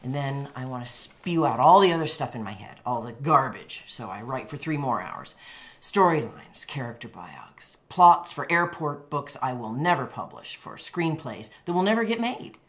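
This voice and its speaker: Very low-voiced, imperious writer. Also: female voice, very animated, normal speed, fairly bright tone, full character voice. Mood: intense, self-assured. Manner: curt, self-assured, emphatic. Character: blunt, imperious American woman, celebrated writer. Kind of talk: radio drama acting.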